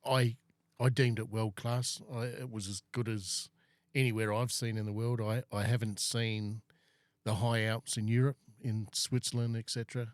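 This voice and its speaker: A man's voice: on the low side, flat delivery, moderate pace, no echo. A middle-aged man hosting a casual podcast chat, talking in long rambling runs full of fillers. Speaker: podcast host.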